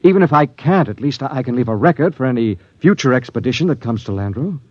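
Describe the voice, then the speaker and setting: An actor playing a grave, driven expedition captain, dramatic and deliberate, in old-time radio drama style. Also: male voice, mid-range voice, lively intonation, no echo, lightly acted. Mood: urgent. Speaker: narrator and lead actor. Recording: dull vintage radio recording with music.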